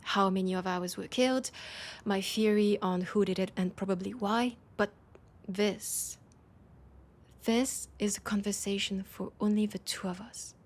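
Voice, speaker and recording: A woman playing a proud but desperate vampire leader in an intimate audio drama, with a French accent and a halting, breathy delivery that slips toward whispers. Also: mid-range voice, fairly even intonation, natural voice. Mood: pleading, ashamed. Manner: hesitant, halting, breathy asides dropping into whispers.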